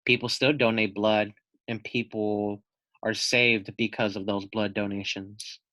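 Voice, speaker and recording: Slow, bright-voiced man teaching children. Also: fairly low pitch, flat delivery, no echo. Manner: explanatory, asks questions and repeats key points. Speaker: teacher reading a children's book aloud. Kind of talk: read-aloud lesson for young children.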